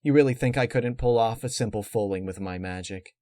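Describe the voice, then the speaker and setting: A man voicing a cold, calculating female unicorn villain who explains menace in a measured, matter-of-fact way. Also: fairly low pitch, mellow, no echo, lightly acted. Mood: calm, grave.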